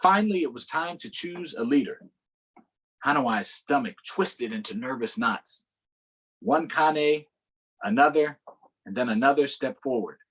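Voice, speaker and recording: A man reading a picture book aloud to children in an unhurried way, pausing for short explanatory asides. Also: medium pitch, animated, fairly bright tone, husky, rough recording. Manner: unhurried, adds explanatory asides to young listeners. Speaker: storytime reader.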